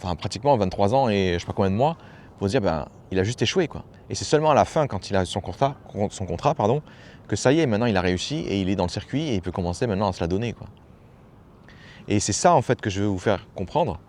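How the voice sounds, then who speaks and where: A man speaks quickly in a low voice, like a coach talking straight to his viewers. His delivery is familiar and a bit slangy. He drives his points home by repeating phrases over and over, with a faint hiss of noise behind him. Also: animated, a little mellow. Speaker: personal development coach. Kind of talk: casual motivational talk to camera.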